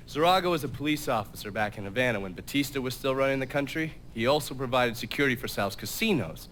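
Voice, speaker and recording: An actor playing a weary, middle-aged intelligence handler who briefs the hero in a dry, matter-of-fact way. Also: male voice, mid-range voice, lively intonation, a little fast, fairly bright tone, slight background noise, close to an everyday voice. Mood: briefing.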